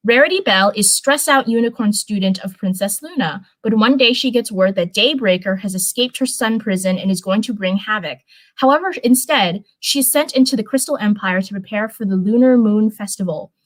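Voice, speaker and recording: A woman's voice: medium pitch, a little fast, rough recording. A young woman hosting a livestream, moving between reading a story aloud and rambling, informal chat in which she often corrects herself. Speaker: livestream host reading fan fiction.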